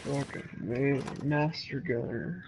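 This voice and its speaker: A man's voice: medium pitch, slow, creaky. A man in casual gaming chat, swearing freely and egging his friend on. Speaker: game streamer. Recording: noisy home gaming microphone.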